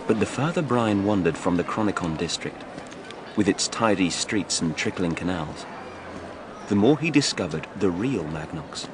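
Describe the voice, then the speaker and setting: A male narrator reads dramatic fiction for an audiobook. His voice is on the low side and moves animatedly up and down. A faint bed of noise runs behind the reading. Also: animated, normal speed.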